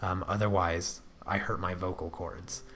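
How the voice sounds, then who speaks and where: A soft-spoken man chatting casually on a drawing livestream, hesitant, with frequent restarts and fillers. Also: deep voice, steady tone, measured pace. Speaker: artist livestreaming while drawing. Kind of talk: casual livestream chat answering viewers.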